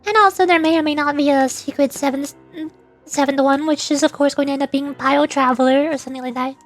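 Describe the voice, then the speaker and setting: A woman with a high voice streams a game. Her commentary is casual and chatty, wandering from thought to thought, with soft music in the background. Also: fairly even intonation, fast. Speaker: streamer, VTuber.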